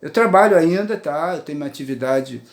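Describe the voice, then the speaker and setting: A Brazilian man in his sixties speaks in a fairly high voice, earnest and a little rambling, as he shares his views from home. He keeps turning to his listeners to check they are following. The sound is roomy, with the echo of a bare room at home. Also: animated, fairly quick. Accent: Brazilian Portuguese. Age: 60s. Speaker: poet and writer sharing views. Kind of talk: earnest personal monologue to camera. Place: his apartment.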